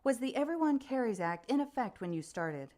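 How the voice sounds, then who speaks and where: An actress playing a composed news special reporter and interviewer in a radio play, formal, measured and probing. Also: female voice, on the high side, sing-song, a little mellow.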